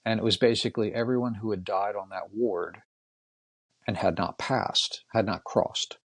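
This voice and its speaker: Gravelly older man, low and husky. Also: fairly even intonation, moderate pace, no room sound. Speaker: guest. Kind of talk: casual storytelling in conversation.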